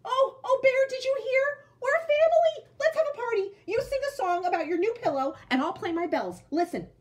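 Woman putting on an excitable high-pitched toy jack-in-the-box. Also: brisk. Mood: thrilled, excited. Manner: gasping, exclaiming, gleeful. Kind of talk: storybook read-aloud.

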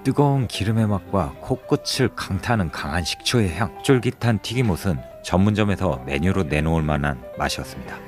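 Low-voiced guy doing a food vlog, voice goes up and down a lot, chatty. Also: brisk, few pauses, over music. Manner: lively, descriptive, chatty with friends. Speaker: food vlogger. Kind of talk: restaurant review narration. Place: busy barbecue restaurant.

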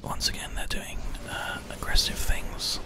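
A person whispering ASMR commentary over video gameplay, close to a binaural microphone. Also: unhurried. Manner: whispering throughout. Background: keyboard typing and mouse clicks.

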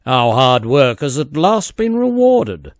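A man voicing the excitable, anxious people of an island tribe in a storybook, exclaiming and fretting. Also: mid-range voice, slow pace, dry recording, clean studio sound. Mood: pleased.

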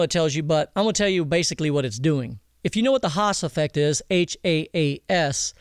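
A man explaining audio software step by step in an informal tutorial, often checking that the listener follows. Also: high-pitched, clear, resonant tone, no room sound, clean studio sound. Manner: explanatory, checks understanding with "okay?", "all right?". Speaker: audio mixing instructor.

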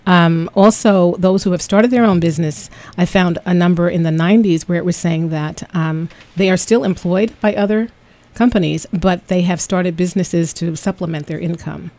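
Low-voiced woman hosting a radio interview. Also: normal speed, dark tone. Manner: chatty, many ums, reads stats aloud. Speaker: radio talk show host. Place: radio studio.

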